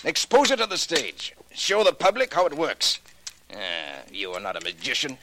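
A man's voice: medium pitch, big pitch swings, moderate pace, background noise, close to an everyday voice. An actor in a 1940s radio drama playing a bitter old magic-shop craftsman, indignant and theatrical, with stilted phrasing. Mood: bitter refusal. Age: older.

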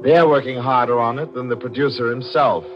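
An actor playing a cold, scheming male newspaper columnist in a 1940s radio drama, calculating and measured. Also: mid-range voice, steady tone, natural voice. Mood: sly insinuation. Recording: muffled old radio broadcast with music.

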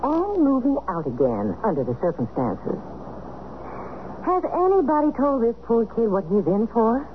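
A woman's voice: on the high side, sing-song, normal speed. An actress playing a sly, flirtatious sophisticated woman in a 1970s radio drama, arch and needling in manner. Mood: catty, brisk. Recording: muffled, phone-like, noisy old radio recording.

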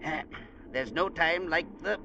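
An actor playing a blunt, bossy, unflappable sea captain in a vintage radio drama, with curt, folksy talk. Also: male voice, on the high side, measured pace. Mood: absorbed, testy. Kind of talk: 1940s-50s radio drama acting. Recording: muffled, noisy vintage radio recording.

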